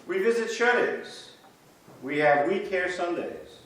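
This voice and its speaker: A man's voice: high-pitched, animated, slow, fairly bright tone, slight background noise. A man reading a church history script aloud in reader's theater, telling the stories with a storyteller's build-up. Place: large church sanctuary. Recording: echoey church from far off.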